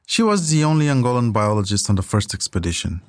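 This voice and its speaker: A man's voice: on the low side, big pitch swings, quick pace, no echo, clean studio sound. A man narrating a scripted audio documentary as its host.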